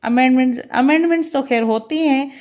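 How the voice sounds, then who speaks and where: A woman lecturing in a halting, deliberate way, alternating between reading and explaining, mixing in English terms. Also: high voice, darkish tone, highs cut off. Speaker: law lecturer.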